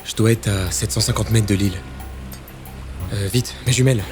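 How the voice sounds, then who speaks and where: An actor playing a weary, sardonic secret agent in an audio drama, sarcastic and given to muttering. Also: male voice, lowish voice, moderate pace, music in the background, lightly acted. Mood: wary.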